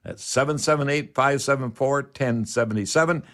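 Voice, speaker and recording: A man's voice: mid-range voice, normal speed, a bit bright. A man hosting a casual live radio show, talking in a folksy, rambling way.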